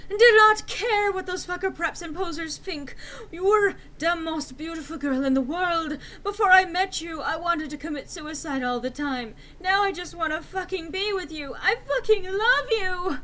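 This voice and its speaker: A woman's voice: very high voice, moderate pace, bright, forceful tone, pitched above the performer's own voice. A woman voicing a lovesick, melodramatic teenage goth boy with a pleading, overemotional delivery in a story reading.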